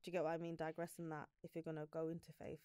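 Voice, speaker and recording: A woman's voice: low-pitched, completely flat delivery, warm tone, clean tone, no echo, clean studio sound. A young woman, a podcast guest, talking in a casual, emphatic way and often checking that she is understood. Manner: rapid, emphatic talk, keeps asking "do you get what I mean". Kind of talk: casual chat. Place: podcast studio, indoors. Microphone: studio mic on a boom arm.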